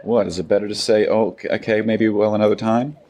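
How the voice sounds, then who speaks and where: A man's voice: lowish voice, level tone, fast, a little mellow, slight background noise, close to an everyday voice. An actor playing a weary, blunt young army sergeant narrating his journal in an audio drama. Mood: curt. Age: 20s. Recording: music under the voice.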